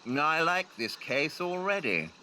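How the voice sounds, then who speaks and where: Casting: male actor as clever, superior Victorian detective in a radio play, very bright incisive voice, swooping intonation. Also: a little high, sing-song, measured pace, slight background noise, full character voice. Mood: dry, intrigued. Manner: clipped, superior, deductive.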